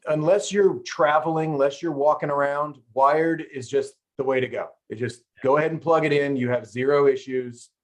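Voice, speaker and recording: A man's voice: on the high side, steady tone, normal speed, few pauses. A middle-aged man hosting a podcast, chatting casually and rambling into playful stories. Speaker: podcast host. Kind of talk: casual interview chat.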